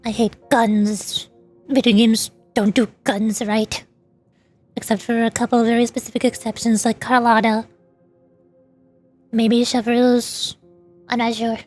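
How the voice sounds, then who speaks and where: Female VTuber, high voice, chatty and opinionated casual gaming commentary, rambling delivery, faint music. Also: little pitch movement, measured pace, pauses often, darkish tone. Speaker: VTuber streamer.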